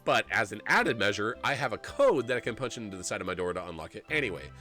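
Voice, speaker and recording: A man's voice: on the low side, fast talker, soft background music. A man in his forties streaming a story game, reading its narration aloud and joking, laughing often. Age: 40s. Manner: animated and jokey, laughs often, rambles.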